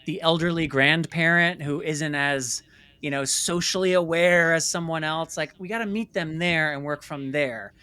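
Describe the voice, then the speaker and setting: A man's voice: fairly high pitch, normal speed, bright, forceful tone, clear, resonant tone. A man speaking as an interview guest in a conversational storytelling style, emphatic and repeating phrases for stress. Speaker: interview guest, filmmaker and former stage actor.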